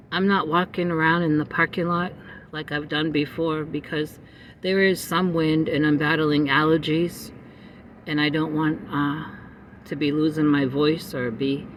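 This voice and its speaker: A middle-aged man chatting to his viewers from a parked car, meandering with frequent pauses. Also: fairly high pitch, a little hiss or hum. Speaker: vlogger. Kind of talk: casual rambling chat to viewers. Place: parked car in a parking lot. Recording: slightly distant phone mic with faint ambience.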